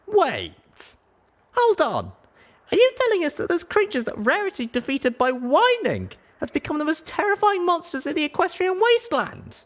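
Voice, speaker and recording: A woman voicing a young, curious and anxious female pony adventurer in an audiobook, with expressive, incredulous delivery. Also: extremely high pitch, moderate pace, exaggerated voice. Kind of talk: audiobook character dialogue.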